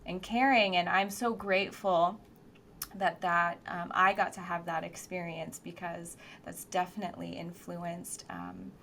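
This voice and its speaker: A woman's voice: lowish voice, expressive, a little slow, creaky, a bit bright, slight background noise. A woman from the United States, co-hosting a podcast and speaking in a thoughtful, reflective way with many fillers. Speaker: podcast co-host, therapist. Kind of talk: casual podcast conversation.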